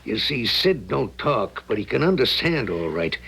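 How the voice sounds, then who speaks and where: An actor playing a crude, ruthless, greedy thief with a gravelly voice and folksy tough-guy talk in a 1970s radio drama. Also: medium pitch, big pitch swings, moderate pace, fairly bright tone, full character voice. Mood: menacing. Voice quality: husky, gravelly.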